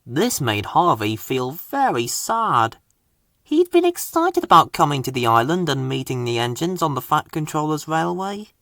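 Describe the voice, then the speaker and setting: A man's voice: a little high, very animated, moderate pace, fairly bright tone, no room sound, studio quality. A man reading a children's story aloud as a scripted narration. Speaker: storybook reader.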